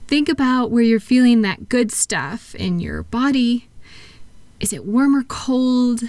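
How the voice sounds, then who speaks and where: A woman playing a kind therapist in a comfort audio roleplay, speaking softly and gently, reassuring and encouraging her patient without hurry. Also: fairly high pitch, big pitch swings, slow, no echo. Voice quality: soft-spoken. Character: kind, soothing woman therapist guiding a patient with futuristic tablet.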